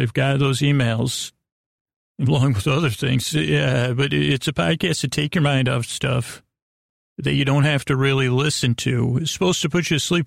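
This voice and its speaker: A man with a gravelly, creaky voice improvises a bedtime podcast monologue. He meanders and stumbles over his words, interrupting himself as he goes, in a lulling manner. The recording is close and dry, with very little room sound. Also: mid-range voice, dry recording. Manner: soothing, lulling. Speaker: podcast host.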